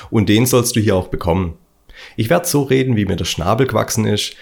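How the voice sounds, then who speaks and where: Swabian guy doing a motivational podcast, husky voice, blunt and slangy. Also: lowish voice, darkish tone. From Stuttgart. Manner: blunt, colloquial, preachy. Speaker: podcast host. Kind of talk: motivational solo podcast monologue.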